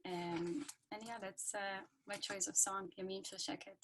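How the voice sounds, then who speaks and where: Israeli woman on a video call, slow hesitant reflective talk, lots of ums. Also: mid-range voice, flat delivery, fairly bright tone, a little hiss or hum. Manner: hesitant, many ums, reads a quote aloud. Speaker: guest speaker.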